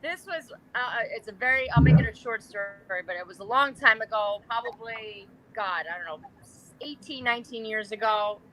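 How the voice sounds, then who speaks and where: A woman's voice: fairly high pitch, normal speed, hardly any pauses, crowd noise, low-quality recording. A woman in her forties, chatting casually and laughing as she tells a candid personal story. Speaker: guest panelist. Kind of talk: casual chat.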